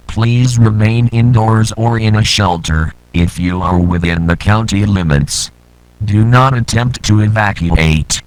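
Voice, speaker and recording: Casting: man playing stern emergency broadcast announcer, lowish voice, formal and measured, very dry studio sound. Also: no echo, low-quality recording. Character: male county emergency alert announcer, stern and impersonal.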